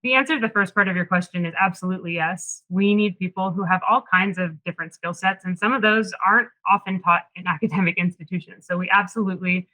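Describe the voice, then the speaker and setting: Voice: mid-range voice, sharp tone, poor audio. A panel moderator who works in product, chatting in a friendly, conversational way and putting questions to the guests. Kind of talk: hosting a careers panel.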